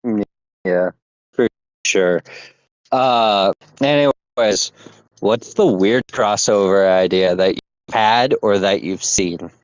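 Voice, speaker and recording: Man chatting casually on a podcast, halting and full of fillers, muffled dry recording. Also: on the low side, dry recording. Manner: halting, many fillers and restarts. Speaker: podcast co-host.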